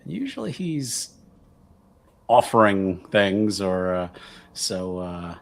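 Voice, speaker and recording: A man playing a tabletop roleplaying game, talking casually and haltingly, thinking aloud and joking as he improvises. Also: deep voice, sing-song, gravelly, slight background noise.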